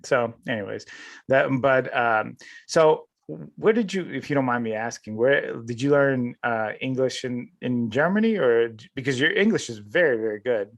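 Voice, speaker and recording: Halting mid-pitched male podcast host. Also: lively intonation. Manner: halting, rephrases questions as he goes. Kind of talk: casual interview chat.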